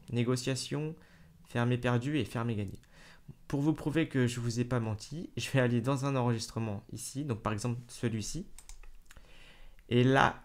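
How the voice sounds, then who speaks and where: Casual man explaining software. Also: medium pitch. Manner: casual, addresses viewer informally, frequent fillers and self-corrections. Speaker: tutorial host. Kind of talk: screen-recorded software tutorial.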